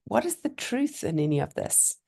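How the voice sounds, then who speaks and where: A middle-aged woman, a little hoarse, giving an online seminar in a chatty, encouraging way over a video call. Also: low-pitched, very animated, moderate pace, mellow. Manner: chatty, encouraging, thinking aloud with fillers and restarts. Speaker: lecturer presenting a webinar.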